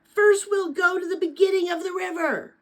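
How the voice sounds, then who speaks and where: Woman voicing a brisk, bossy young female guide. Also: extremely high pitch, voice pushed up. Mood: loud, brisk. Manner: loud, matter-of-fact, instructing.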